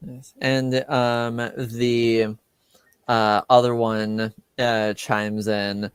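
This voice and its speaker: Slow, halting male game master. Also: mid-range voice, flat delivery, clean tone, dry recording. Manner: halting, hesitant, piecemeal phrasing. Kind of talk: improvised tabletop game narration.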